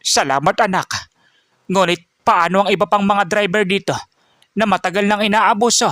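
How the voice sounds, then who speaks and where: A man voices an old tricycle driver, humble and worn, speaking gently. The voice is a touch high and close-miked with no room around it. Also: normal speed, dry recording. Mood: grateful.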